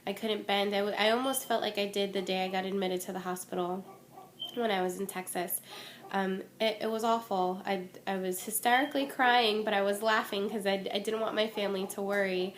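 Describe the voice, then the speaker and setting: A woman's voice: medium pitch, steady tone, fairly quick. A young woman chatting candidly to camera from home in a casual vlog update, her voice a bit stuffy and worn from a cold. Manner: chatty and candid, many ums and restarts. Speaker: vlogger. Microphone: phone or webcam.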